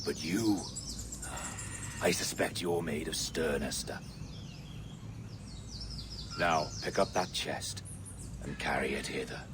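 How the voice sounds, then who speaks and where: An actor playing an enigmatic male pirate captain in a video game cutscene, measured and cultured. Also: rumbling low, background noise. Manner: measured, cultured, quietly cryptic.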